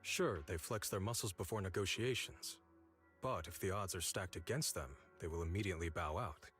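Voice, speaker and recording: Deep-voiced, measured strategist. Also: male voice, fairly bright tone, soft background music. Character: measured, serious adult male strategist in a game. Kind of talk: scripted game dialogue.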